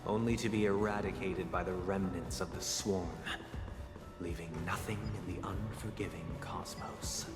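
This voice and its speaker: An actor playing a solemn, formal storyteller who narrates a grand allegorical history in a video game. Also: male voice, low voice, steady tone, moderate pace, close to an everyday voice. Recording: echoey hall reverb under loud music.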